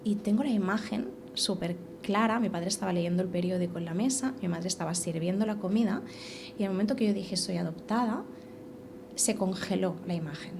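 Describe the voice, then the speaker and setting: A woman's voice: mid-range voice, brisk, vocal fry. Woman telling her story, noisy. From Catalonia. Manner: animated, repeats and restarts phrases. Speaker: podcast guest. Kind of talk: casual conversational storytelling. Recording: steady background noise.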